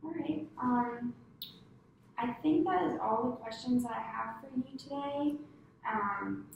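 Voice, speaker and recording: A woman conducting a clinical case-history interview, asking polite, halting questions with many fillers. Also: a little high, creaky, dull audio. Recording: echoey room, distant mic, faint noise.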